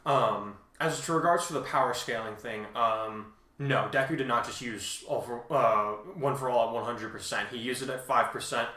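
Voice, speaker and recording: A man casually explaining as a co-host, halting but emphatic as he talks through his points. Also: medium pitch, pushed and bright. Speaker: co-host and fan fiction author responding to reviews. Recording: roomy, echoing sound.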